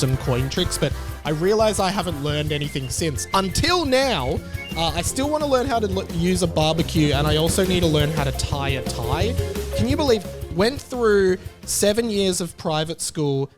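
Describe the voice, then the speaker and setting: Man, Australian accent, young adult, casual podcast host, self-deprecating, slightly high. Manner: chatty, self-deprecating, quick asides. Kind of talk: casual solo podcast chat.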